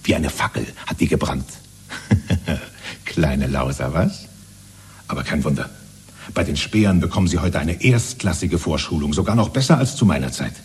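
A middle-aged radio-drama neighbour, with a very deep and heavily rasping voice. He is chummy and blustering, an eager, gullible loyalist and proud father. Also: animated, normal speed, a little mellow, heavily acted. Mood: chummy, boastful. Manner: chummy, blustering, repeatedly matey. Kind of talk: 1970s German radio drama acting.

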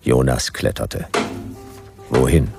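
A man's voice: very deep voice, slow pace, vocal fry, over music, exaggerated voice. A man playing a hard-boiled private detective, narrating a radio play in dry, clipped first-person sentences. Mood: dry, wry.

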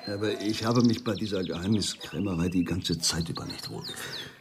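A man's voice: sits low, fairly quick, heavy background noise, close to an everyday voice. An actor playing an uneasy older man who hesitantly confesses an old secret in a radio drama. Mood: uneasy, confessing.

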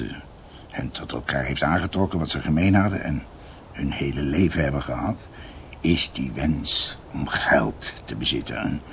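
An actor playing a brooding doctor who recounts his family's history in a halting, reflective, emphatic way, as in a radio drama. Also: rumbling low, gravelly, fairly bright tone, a little hiss or hum, heavily acted.

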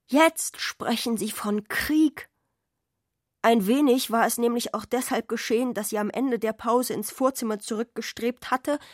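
A woman with a slightly high voice tells a literary story as narrator of a radio drama. The sound is very dry, with no audible room. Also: no echo. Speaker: narrator of a radio play adaptation.

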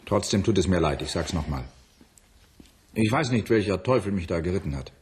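An actor playing a subdued, rueful male colleague in a radio drama, with a low, husky voice. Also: animated, a little fast, a little mellow, a little hiss or hum. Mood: remorseful. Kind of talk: German science fiction radio drama acting.

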